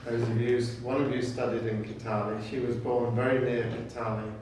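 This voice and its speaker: An older man from Kenya whose first language is Swahili, speaking in a flat, even tone. He talks in short, measured phrases with pauses, as if welcoming a group. The recording is far from the mic in a very echoey room. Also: medium pitch, slight background noise. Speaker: program director welcoming students. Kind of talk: informal welcome talk.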